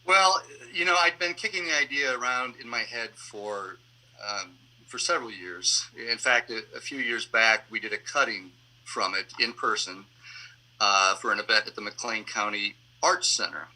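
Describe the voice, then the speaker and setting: A man answering interview questions on a video call as a theater director, explaining things in a halting, reflective way. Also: lowish voice, pushed and bright, poor audio. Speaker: theater artistic director and actor, panel guest. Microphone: video call microphone.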